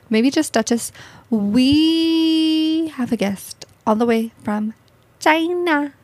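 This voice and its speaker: A woman's voice: sits high, drawn-out words, pauses often, darkish tone, dry recording. A woman hosting a podcast in a playful, jokey way, with theatrical swings in her voice as she chats. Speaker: podcast host. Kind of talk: casual chat.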